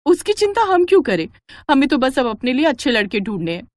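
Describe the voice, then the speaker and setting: A woman plays a callous young daughter in an animated moral tale. She is dismissive and scheming, with scornful, lively lines that jump up in pitch. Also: fast. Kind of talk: animated moral story dialogue.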